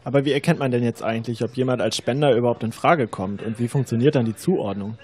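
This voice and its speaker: A man plays a young male student in an educational radio play. He talks quickly in a soft, mellow mid-range voice. His rehearsed lines sound questioning and sceptical. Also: medium pitch, close to an everyday voice. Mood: sceptical, uneasy. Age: young adult.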